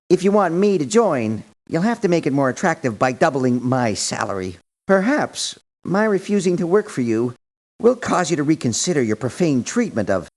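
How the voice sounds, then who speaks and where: An actor playing a prim, principled male mercenary in a video game, speaking in formal, precise, politely reproachful sentences. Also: on the high side. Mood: stiff disapproval. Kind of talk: video game character voice lines.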